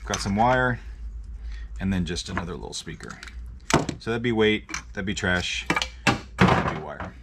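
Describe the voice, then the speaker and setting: A man's voice: deep voice, lively intonation, measured pace, long pauses. A man hosting a hands-on teardown video, talking to the camera in a casual, plain-spoken, explanatory way. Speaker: host of an e-waste teardown video. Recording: clear background noise with handling clicks.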